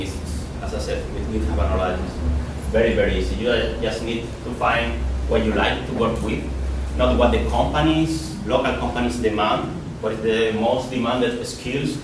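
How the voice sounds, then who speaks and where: A man in his thirties with a Spanish accent, giving a casual conference talk, often repeating and restarting his words. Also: medium pitch. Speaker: conference speaker. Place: lecture hall. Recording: echoey hall from far off, noisy.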